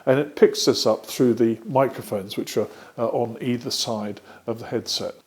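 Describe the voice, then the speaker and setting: A British man presenting a product demonstration step by step, explaining methodically and often pausing mid-sentence. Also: mid-range voice, fairly even intonation, darkish tone.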